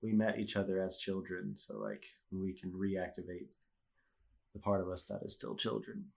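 A man's voice: deep voice, little pitch movement, moderate pace, creaky, darkish tone. A young man chatting casually as a podcast guest, laid-back and full of "like" and "you know", with a stuffy nose. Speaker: podcast guest, host's husband. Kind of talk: casual chat.

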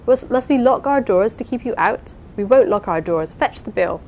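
A woman reader acts a vain young Victorian wife, imperious and effusive. Her pitch sits in a middle female range and her delivery is emphatic, as in a dramatised storybook. A clear rumbling noise runs behind her. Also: expressive, measured pace, darkish tone, natural voice. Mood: indignant, furious. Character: Rosamond, vain, fond, fiery-tempered young wife. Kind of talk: dramatised audiobook reading of a Victorian novel.

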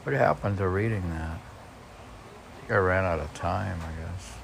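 Male reader, unhurried and gravelly, musing informally aloud, slight background noise. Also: low voice. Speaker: podcast host reading and commenting on poems. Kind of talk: informal read-aloud with casual musing commentary.

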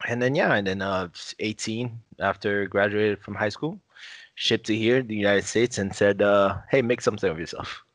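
A young man from Haiti, a podcast guest telling his story in relaxed, casual conversation with many fillers and laughs. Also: on the low side, normal speed, no echo.

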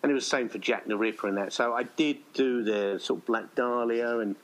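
A man's voice: mid-range voice, steady tone, a little fast, rough recording. A man with a British accent talking casually in a rambling, chatty way, as a writer of audio plays. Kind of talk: casual conversation.

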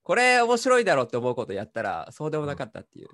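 A man's voice: fairly high pitch, huge pitch swings, very fast, a bit bright. A man chatting casually as a co-host on a radio show.

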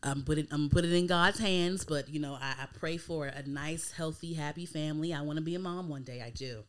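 A thirty-year-old American woman hosts a casual podcast in a smooth, clear voice that sits a little low. She talks informally, full of slang, and swears freely. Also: brisk. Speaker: podcast host.

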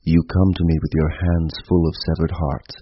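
Extremely deep, husky man reciting poetry. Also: flat delivery, moderate pace, dry recording. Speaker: poetry reader. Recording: dry, slightly dull lo-fi recording.

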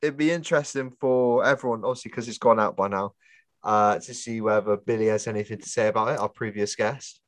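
A man's voice: on the low side, fairly even intonation, fairly quick, no room sound. A man co-hosting a casual podcast, laid-back and chatty, with a chesty cough. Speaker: podcast co-host. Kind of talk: casual chat.

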